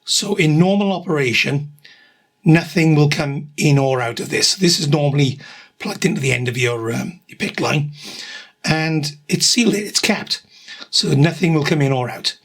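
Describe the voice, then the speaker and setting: Vlogger, middle-aged man, husky with a slight cough, rambling and matter-of-fact, voice sits a touch high. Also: normal speed, a bit bright. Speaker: vlogger documenting his cancer treatment. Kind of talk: casual video diary.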